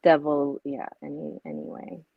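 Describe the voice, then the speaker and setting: A woman co-hosting a casual livestream, rambling and trailing off into soft asides. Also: low voice, little pitch movement, normal speed, vocal fry, no echo, narrow, tinny audio. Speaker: podcast co-host.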